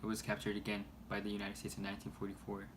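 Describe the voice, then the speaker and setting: A man reading a scripted news report aloud in a halting way, stumbling over words. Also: fairly low pitch, monotone. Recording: noisy amateur recording.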